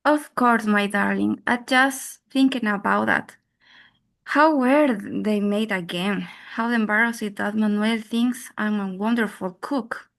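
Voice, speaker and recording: A woman's voice: mid-range voice, unhurried, vocal fry. A woman playing a vain, flustered young wife in an amateur drama, pleading and anxious. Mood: forced sweetness.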